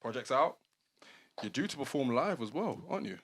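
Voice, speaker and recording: A man's voice: medium pitch, big pitch swings, fairly quick, fairly bright tone. A man hosting a casual podcast, talking in a laid-back, slangy, encouraging way. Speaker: podcast host.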